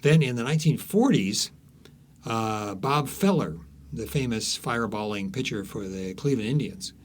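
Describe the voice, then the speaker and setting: A man's voice: medium pitch, lively intonation, moderate pace, slight background noise. A man speaking as an author being interviewed, telling stories conversationally with frequent false starts and repeated words.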